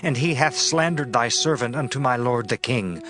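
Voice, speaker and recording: A man's voice: mid-range voice, normal speed, over music, natural voice. An actor playing a humble, loyal lame prince before his king in a dramatized Bible audiobook, deferential and archaic in phrasing. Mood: pleading.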